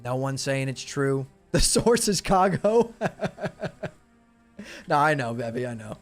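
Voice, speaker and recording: A man's voice: mid-range voice, very animated, slow pace, soft background music. A man in young adulthood, chatting casually with his live stream viewers as he loses his voice. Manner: laid-back, chatty, talks to chat. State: losing his voice.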